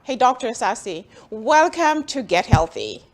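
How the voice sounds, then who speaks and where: A woman from Jamaica with a Jamaican accent, a physician hosting a health talk show, friendly and upbeat and quick to laugh. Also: fairly high pitch, big pitch swings. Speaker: host, physician.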